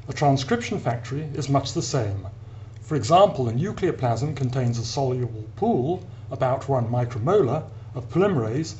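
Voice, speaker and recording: A man giving an explanatory science narration as an academic lecturer, in a measured, deliberate manner with pauses between phrases. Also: medium pitch, darkish tone. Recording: clear background hiss and hum.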